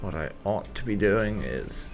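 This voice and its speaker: A man's voice: on the low side, animated, a little slow, creaky, over music. A man giving roleplay playthrough commentary on a video game, musing with wry understatement. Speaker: gaming channel host.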